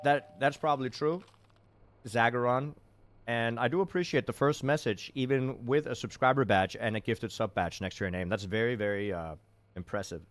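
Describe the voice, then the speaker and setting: A man streams live commentary on a racing game, reading chat and laughing at his own slips. His voice sits at a middling pitch, and the sound is close and dry with no room around it. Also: moderate pace, no echo. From Denmark. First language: Danish. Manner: self-deprecating, chatty, reacts to chat.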